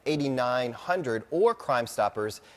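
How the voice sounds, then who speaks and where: A man's voice: medium pitch, animated, slow. American man presenting TV news updates. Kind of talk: broadcast news delivery, scripted updates.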